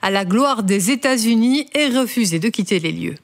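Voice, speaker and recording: A woman reporting as a news correspondent in a measured tone. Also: fairly high pitch, expressive, noisy background.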